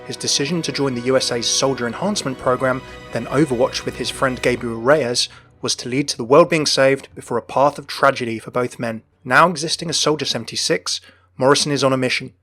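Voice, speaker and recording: A man's voice: medium pitch, brisk, fairly bright tone, husky, faint music behind. A man narrating a scripted video about the lore and backstory of a game character.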